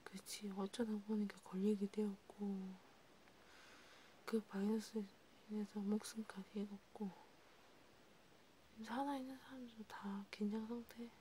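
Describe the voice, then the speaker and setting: A woman playing a sympathetic vampire counselor in a whispered ASMR role play, breathy and intimate, talking casually and haltingly. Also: medium pitch, fairly even intonation, mellow, a little hiss or hum, lightly acted. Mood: soft, reflective. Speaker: ASMR creator.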